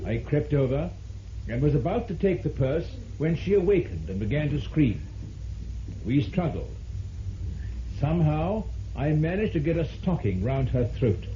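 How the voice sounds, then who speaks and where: A male crown prosecutor, measured and declamatory, with a very dark, mellow voice. The old radio recording is muffled with faint hiss. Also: a little high, big pitch swings. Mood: formal, grave. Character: formal male crown prosecutor reading a confession. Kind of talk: formal reading aloud in vintage radio drama.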